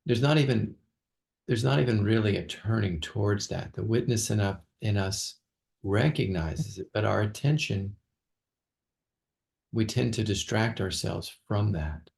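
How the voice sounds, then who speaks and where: Slow, low-pitched man guiding meditation. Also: gravelly. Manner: halting, with long pauses and soft repetitions. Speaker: meditation teacher. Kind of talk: guided meditation, unscripted.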